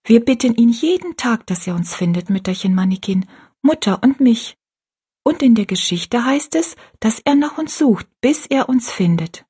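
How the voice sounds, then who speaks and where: A woman's voice: a little high, lively intonation, normal speed, dark tone, slightly rough, pitched above the performer's own voice. A woman voicing an eager, sweet young girl who talks earnestly and pleadingly.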